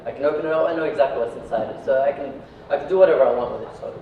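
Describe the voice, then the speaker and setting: A man's voice: medium pitch, rapid-fire, vocal fry, recorded from a distance. Man speaking in a very echoey room. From Iraq. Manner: conversational, peppers sentences with tag questions like right and yeah. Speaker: speaker giving a talk, company founder. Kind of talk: informal motivational talk to a live audience. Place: echoey indoor venue, recorded at a distance. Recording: echoey room from afar, dull, faint noise.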